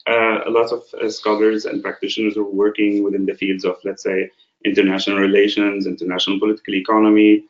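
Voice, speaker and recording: A man, an associate professor, giving a webinar presentation in a halting way, with frequent filler sounds and rhetorical questions. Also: fairly low pitch, slight background noise. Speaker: associate professor and program coordinator. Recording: roomy, dull lo-fi webinar audio.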